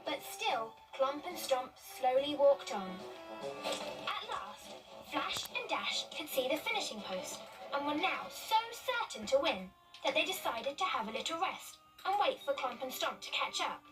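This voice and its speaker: High, lilting woman telling children's stories. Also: big pitch swings, unhurried. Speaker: storyteller. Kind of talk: expressive children's story narration. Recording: distant mic, music underneath. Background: music.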